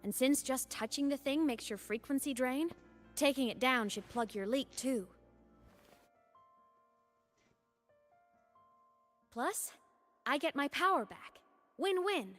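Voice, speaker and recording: A woman's voice: high-pitched, expressive, moderate pace, a bit bright, soft background music. A woman voicing a playful, teasing digital ghost girl in a video game, breezy and casual but determined. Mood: earnest. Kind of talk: video game story voice acting.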